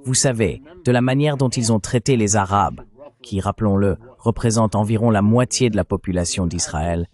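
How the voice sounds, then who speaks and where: A man's synthetic dubbing voice reading political commentary in a measured, articulate way. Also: lowish voice, darkish tone. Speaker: voice-over translator for a panelist.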